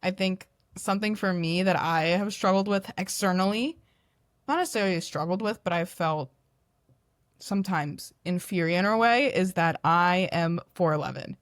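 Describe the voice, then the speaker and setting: A young woman who is a podcast guest, talking in a loud, animated, self-deprecating way. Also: fairly low pitch, normal speed, no echo, clean studio sound. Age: young adult. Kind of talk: candid podcast conversation.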